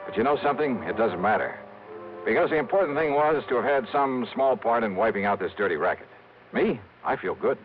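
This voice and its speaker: A man's voice: medium pitch, expressive, fairly quick, soft background music, natural voice. A man playing a tough, sardonic hardboiled investigator in 1950s radio drama, with slangy delivery. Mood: assured. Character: hardboiled, wry male freelance insurance investigator. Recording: dull, hissy vintage radio recording.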